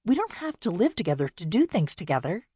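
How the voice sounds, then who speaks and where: A woman's voice: lowish voice, sing-song, a little fast, slightly rough, dry recording, studio quality. A woman voicing a proud, bitter older sister who talks defiantly and mockingly. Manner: defiant, mocking, sharp-tongued.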